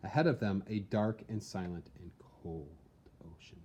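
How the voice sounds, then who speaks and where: A man reading a historical account as dramatic scripted narration, in a measured, theatrical storytelling manner. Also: low-pitched, a little mellow, slightly rough, highs cut off. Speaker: reader, narrator.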